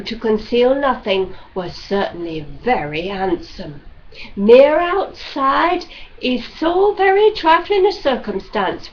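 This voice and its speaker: A woman reading a classic novel as scripted narration, with measured, deliberate phrasing, like an audiobook reader. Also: on the high side. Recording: dull lo-fi audio with background noise.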